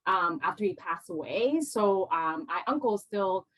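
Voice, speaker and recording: A woman who grew up in Hong Kong, Vancouver and Los Angeles, a restaurant co-owner, answering interview questions in a chatty, halting way. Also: mid-range voice, lively intonation, fairly bright tone.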